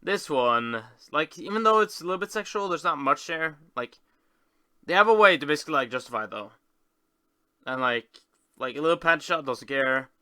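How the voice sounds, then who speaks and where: A man giving a casual commentary as a video host, rambling and often halting to correct himself. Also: a little high, lively intonation, pushed and bright, clean tone, no echo, clean studio sound. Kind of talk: casual screen-tour commentary.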